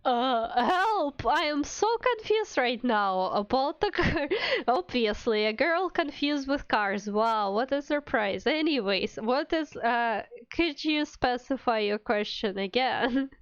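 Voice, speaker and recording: A woman's voice: sits high, expressive, takes it slowly, clean tone, no room sound, muffled recording. A woman livestreamer chatting casually with viewers in a playful, mock-indignant, giggly manner. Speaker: streamer, VTuber.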